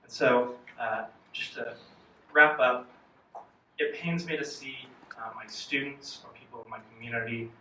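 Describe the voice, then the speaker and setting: A man with a very bright, sharp voice makes a sincere plea during public comment. The sound is distant and echoey, with faint noise behind. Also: mid-range voice, steady tone, a little slow. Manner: sincere, pleading. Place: public meeting hall.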